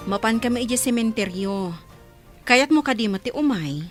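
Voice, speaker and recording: A woman's voice: fairly high pitch, lively intonation, normal speed, soft background music, close to an everyday voice. A woman with a Filipino accent playing a kindly, sociable village auntie in a radio comedy drama. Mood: friendly.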